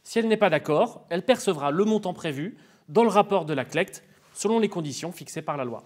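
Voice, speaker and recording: A man's voice: sits high, animated, a little fast. A man giving formal, didactic explanations as an expert in an interview, in structured, prepared sentences.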